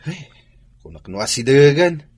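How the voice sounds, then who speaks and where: A man's voice: a little high, animated, moderate pace, darkish tone. A man acting a fussy, food-loving father in an Ilocano radio drama, speaking with an Ilocano accent. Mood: fussing.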